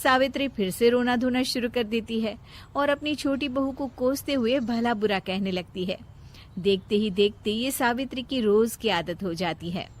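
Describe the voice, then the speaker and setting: A woman's voice: fairly high pitch, normal speed. A woman narrating a scripted moral story.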